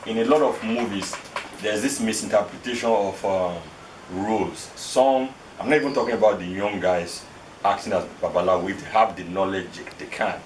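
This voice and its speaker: A man's voice: on the low side, moderate pace. A man interviewing an elder, speaking deferentially and calling him sir. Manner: deferential, says sir, short backchannels. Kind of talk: interview questions. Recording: echoey room from a distant mic, faint noise.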